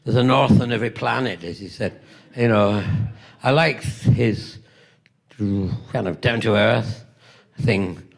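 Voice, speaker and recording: A gravelly older British man spins rambling, playful stories at a convention panel. His voice has a rough edge, and he throws in self-mocking jokes between tales, now and then coughing from a tickle in his throat. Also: fairly low pitch, takes it slowly. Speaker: convention guest actor. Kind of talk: convention Q&A storytelling. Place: convention hall stage.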